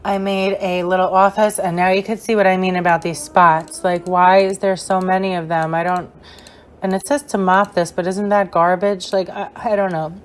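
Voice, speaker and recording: Chatty young woman with a hoarse voice. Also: fairly low pitch, level tone, normal speed, a little hiss or hum. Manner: chatty and rambling, with many fillers. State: hoarse, losing her voice. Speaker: gaming creator. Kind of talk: casual gameplay voice-over tour.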